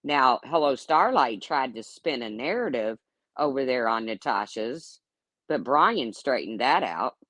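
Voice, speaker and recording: A woman's voice: rumbling low, big pitch swings, unhurried, a bit bright, no echo. Blunt, sarcastic woman in her fifties. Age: 50s. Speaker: YouTube livestream host. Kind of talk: casual livestream rant, answering chat.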